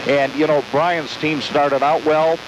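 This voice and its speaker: A man doing live radio play-by-play commentary of a high school basketball game. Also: steady tone, a little slow. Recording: noisy amateur recording of radio broadcast.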